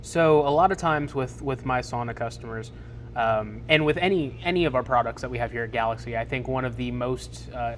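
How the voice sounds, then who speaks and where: A man's voice: medium pitch, brisk, a bit bright. Salesman laying out his approach, conversational, mid voice, faint hiss. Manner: explanatory, acts out customer quotes. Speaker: sauna salesman co-host. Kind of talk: casual sales advice chat.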